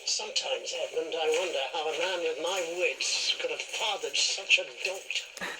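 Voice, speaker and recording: An actor playing a haughty, sneering aristocrat in a period television drama, pompous and disdainful. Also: male voice, a little high, normal speed, crowd noise, natural voice, rough recording. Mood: haughty contempt.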